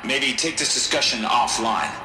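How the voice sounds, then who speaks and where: Guy playing exasperated commander, swingy animated delivery, echoey room, game drama. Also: mid-range voice, normal speed, raised voice, close to an everyday voice. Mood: skeptical, exasperated. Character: skeptical, exasperated male military commander. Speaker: military commander. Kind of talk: cinematic video game acting. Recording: roomy echo, music underneath. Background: background music.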